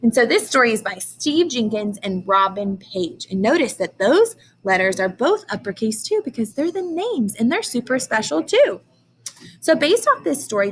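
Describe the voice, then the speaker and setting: Animated woman teaching young children. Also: on the high side, slight background noise. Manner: animated, emphatic, addresses young children simply. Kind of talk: children's read-aloud lesson with explanations and questions.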